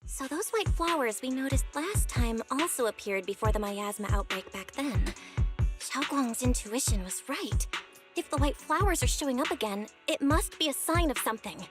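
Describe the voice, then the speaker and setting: A woman's voice: sits high, lively intonation, normal speed. An actress playing an earnest young woman investigator in scripted anime game dialogue. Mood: thoughtful, serious. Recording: game audio with music behind.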